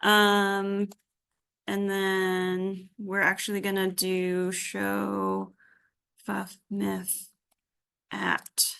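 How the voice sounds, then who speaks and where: A woman teaching a software tutorial informally, thinking aloud as she works through each step. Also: mid-range voice, completely flat delivery, drawn-out words, vocal fry, pauses often, clear, resonant tone. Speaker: workshop instructor.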